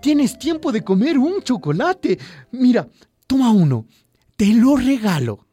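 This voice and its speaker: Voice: medium pitch, big pitch swings, mellow. An actor playing a falsely friendly male stranger in a radio drama, coaxing with a menacing undertone. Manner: coaxing, drawn-out wheedling. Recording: music behind the voice.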